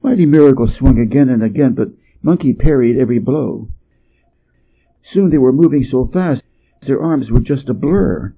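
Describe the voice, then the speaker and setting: A man from Hawaii reading a storybook tale as an expressive narrator. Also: mid-range voice, moderate pace, muffled recording. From Hawaii, United States.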